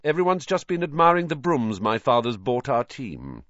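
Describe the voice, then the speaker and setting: A man voicing a smug, spoilt schoolboy bully in an audiobook, smooth and taunting. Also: medium pitch, sing-song, moderate pace, fairly bright tone, dry recording, lo-fi sound.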